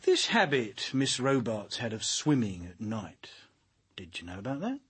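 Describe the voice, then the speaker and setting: An actor playing a composed police chief inspector in a radio crime drama, questioning politely and methodically. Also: male voice, medium pitch, huge pitch swings, fairly bright tone, husky, full character voice. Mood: probing, formal. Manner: polite, probing, measured questioning.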